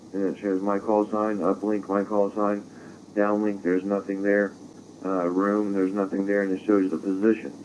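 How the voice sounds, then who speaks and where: An American man explaining things in a measured, casual way as an amateur radio operator, over a digital radio transmission. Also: low-pitched, a little mellow. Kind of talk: casual technical radio exchange. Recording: faintly noisy digital radio audio.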